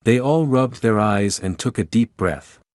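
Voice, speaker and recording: Low-voiced man narrating a story. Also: expressive, a little slow, darkish tone, no echo, clean studio sound.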